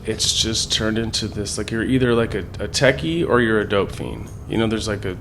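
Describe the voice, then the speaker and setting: A man's voice: lowish voice, expressive, a little fast, background noise. A man, talking in a loose, slangy, rambling way as an interview guest. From San Francisco Bay Area, US. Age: 40s. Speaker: interview guest, graffiti artist. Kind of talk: casual reflective interview talk.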